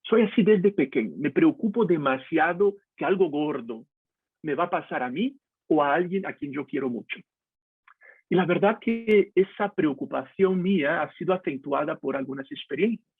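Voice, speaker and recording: Brazilian pastor guy, husky voice, talks straight to you, sounds like a dry tinny online call. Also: a little high, lively intonation, moderate pace, dry recording. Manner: candid, confessional, addresses listener directly. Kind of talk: personal devotional talk. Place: home, video call.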